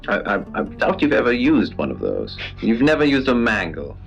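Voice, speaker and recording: Eccentric male radio curio dealer, gravelly, animated swoops in pitch, deadpan, macabre, hesitant. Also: moderate pace. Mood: deadpan, eerie. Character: Poe, eccentric male radio host and curiosity shop keeper. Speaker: radio co-host. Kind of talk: late-night radio show banter. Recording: band-limited radio sound over music.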